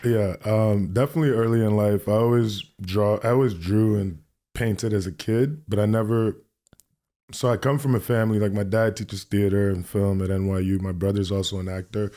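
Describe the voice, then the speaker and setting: Low-voiced young man chatting casually. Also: moderate pace. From New York City. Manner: relaxed, frequent fillers and restarts. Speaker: guest artist. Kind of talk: casual interview conversation.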